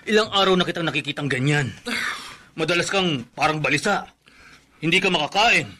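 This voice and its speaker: A man's voice: on the high side, a little fast, sharp tone. An actor playing a worried middle-aged father who pleads anxiously with his son.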